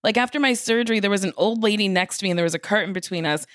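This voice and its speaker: A woman's voice: medium pitch, racing, a bit bright, no echo, studio quality. A woman co-hosting a podcast in casual chat, candid and blunt in the way she talks. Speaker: podcast co-host.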